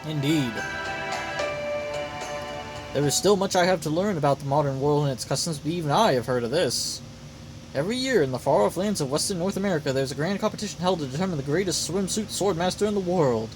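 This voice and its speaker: A man's voice: fairly high pitch, lively intonation, a little fast, close to an everyday voice, low-quality recording. A man voicing an earnest, courteous old-world warrior from video game dialogue, with formal, solemn phrasing. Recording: loud game music behind voice.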